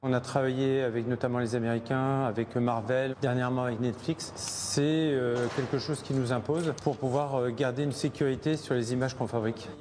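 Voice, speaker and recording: A man's voice: medium pitch, fairly even intonation, normal speed, hardly any pauses, noisy background. A man interviewed at his workplace, explaining his studio's work with some hesitations.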